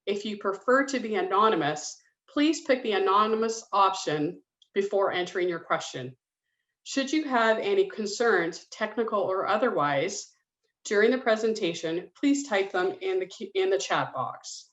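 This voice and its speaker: A woman's voice: mid-range voice, animated, normal speed, a bit bright. Female webinar host reading a script, roomy echo, dull lo-fi video-call sound. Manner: reads from a script, businesslike. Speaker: public education coordinator and webinar host.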